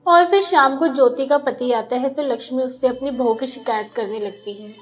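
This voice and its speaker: A woman's voice: sits high, moderate pace, soft background music. A woman reading scripted narration of a family moral story as a storyteller.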